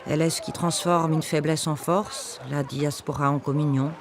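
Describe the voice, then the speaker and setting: A man narrating a documentary in a measured, literary way. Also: a little high, steady tone. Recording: busy crowd noise behind.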